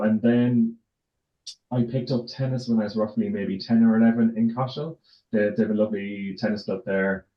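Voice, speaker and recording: Low-voiced Irish man, roomy muffled sound. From Ireland, raised in County Tipperary. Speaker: interview guest, tennis tournament director. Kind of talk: casual conversational interview answers. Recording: roomy echo, dull lo-fi sound.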